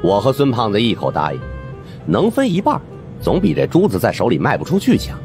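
A man narrating a supernatural mystery audiobook in the first person, in an expressive storytelling style. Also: medium pitch, a little fast, vocal fry. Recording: music under the voice.

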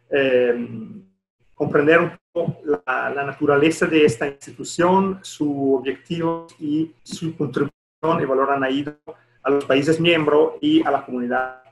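A man's voice: on the high side, fairly bright tone. A middle-aged man from Italy with an Italian accent gives a formal presentation in a non-native language, often searching for words, on a headset in a video call. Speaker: deputy director at an international organisation, presenting. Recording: roomy echo on video call.

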